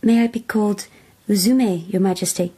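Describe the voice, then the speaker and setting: A woman's voice: medium pitch, fairly even intonation, moderate pace, dark tone, husky, lightly acted. A woman playing a naive, eager young wild girl in a radio drama, with a direct, careful way of talking. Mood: earnest.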